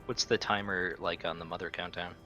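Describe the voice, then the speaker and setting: A man's voice: low-pitched, fairly even intonation, brisk, sharp tone, highs cut off, soft background music. A man talking casually as a player in a tabletop roleplaying game.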